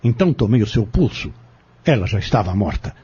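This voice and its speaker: A man playing a cold, vengeful schemer who confesses his crimes in an audio drama, with a husky voice. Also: on the low side, very animated, a little fast, warm tone, lo-fi sound, heavily acted. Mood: cold, bitter.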